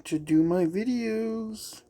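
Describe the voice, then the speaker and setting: A gameplay creator with a very dark, muted tone at a middling pitch. The delivery is casual and unscripted, muttered like asides to viewers. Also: slow pace. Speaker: video creator, gamer.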